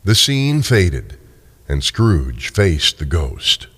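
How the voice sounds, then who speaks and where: A man narrating a scripted classic tale in a theatrical, expressive storytelling style. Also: sits low, very slow, slightly rough.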